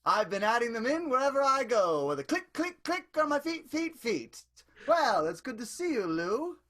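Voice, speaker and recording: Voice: medium pitch, big pitch swings, moderate pace, a bit bright. An actor playing a nosy, chatty hypnotist who rambles about odd trivia, in an improvised radio play. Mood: pushy.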